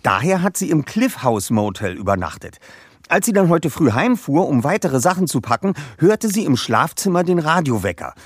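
A man's voice: a little high, very animated, normal speed, dry recording, clean studio sound. A man playing a self-assured, bookish teenage detective leader in a German audio drama, speaking precisely and formally. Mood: matter-of-fact. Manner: precise, bookish, matter-of-fact.

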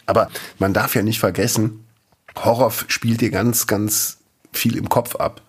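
Husky low-voiced man rambling casually. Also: unhurried. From Eifel region, Germany. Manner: rambling, animated storytelling with restarts. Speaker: podcast co-host. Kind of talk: casual chat.

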